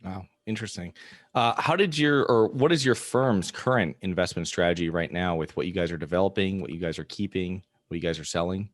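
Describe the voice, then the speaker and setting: A male podcast host with a fairly low voice rattles through his introduction and questions at a fast clip. His sound is dry and close to the mic. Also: no echo. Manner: brisk, scripted introductions. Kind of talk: interview hosting.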